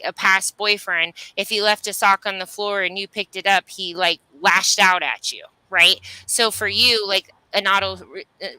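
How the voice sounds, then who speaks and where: Woman, very bright forceful voice, medium pitch, spiritual coaching talk, frequent check-in questions, dry close sound. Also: smooth, no room sound, rough recording. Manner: often checks in with tag questions. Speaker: spiritual reader, co-host. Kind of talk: intuitive spiritual reading, casual coaching talk.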